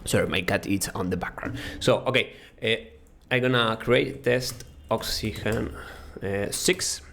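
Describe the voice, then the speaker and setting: A man from Argentina with an Argentine Spanish accent, whose first language is Spanish, giving a halting, casual walkthrough of software with many fillers and restarts. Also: fairly low pitch, creaky. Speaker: web design course creator.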